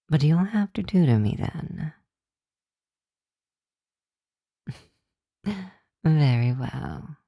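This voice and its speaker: Woman doing a teasing vampire college girl, asmr roleplay, mellow slow voice, very dry close sound, playful. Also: deep voice, dry recording, heavily acted. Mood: reluctant, earnest. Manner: playful, teasing, drawn-out delivery with breathy asides.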